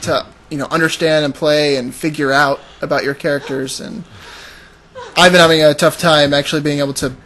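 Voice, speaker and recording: Laid-back man, slightly high voice. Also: fairly even intonation, a little fast, fairly bright tone, a little hiss or hum. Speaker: podcast co-host. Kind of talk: casual gaming chat. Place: his one-room apartment.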